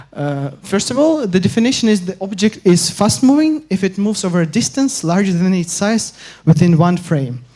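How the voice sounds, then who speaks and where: A young man from Ukraine giving an academic conference talk, halting with frequent pauses and restarts. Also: sits high, darkish tone. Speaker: conference speaker.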